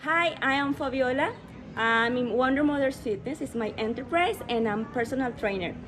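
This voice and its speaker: A woman with a fairly high voice and a Latin American Spanish accent introduces herself as a personal trainer. She speaks in a short, simple interview style. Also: normal speed, fairly bright tone, faint music behind. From Ecuador. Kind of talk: brief self-introduction.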